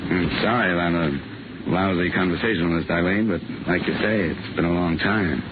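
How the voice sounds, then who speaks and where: An actor playing a tough, wry, world-weary investigator in a terse 1950s radio detective style. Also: male voice, deep voice, normal speed, heavy background noise, natural voice. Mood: sardonic, guarded. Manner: terse, sardonic, hard-boiled. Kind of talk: 1950 radio detective acting. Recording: tinny vintage radio recording.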